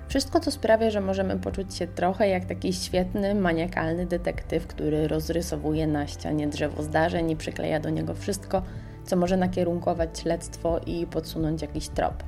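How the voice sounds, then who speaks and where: Mellow-voiced woman radio host. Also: lowish voice. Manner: reads from a script at an even, measured pace. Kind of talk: scripted radio podcast review.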